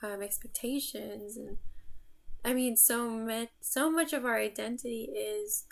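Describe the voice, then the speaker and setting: A woman's voice: fairly high pitch, measured pace, creaky. A young woman from the United States, an artist introducing herself in a casual vlog, talking in a reflective, thinking-aloud way. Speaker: artist and vlogger. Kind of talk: casual self-introduction to camera.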